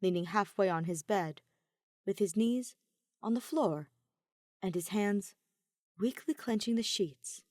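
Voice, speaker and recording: A woman narrating fan fiction like an audiobook, measured and emotive, with dramatic pauses. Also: medium pitch, a little mellow, no echo, studio quality. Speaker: podfic reader.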